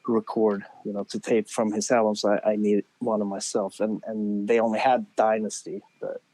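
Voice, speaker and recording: A man, telling lively stories in a casual chat and acting out his younger self, heard over a phone call. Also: on the low side, moderate pace. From Sweden. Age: 40s. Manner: animated storyteller, acts out his younger self. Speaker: guest musician.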